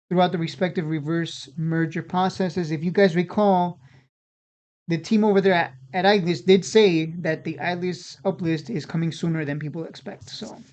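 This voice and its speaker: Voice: medium pitch, a little mellow, highs cut off. A stock video host giving casual, unscripted market commentary in an informal way, often repeating and restarting phrases.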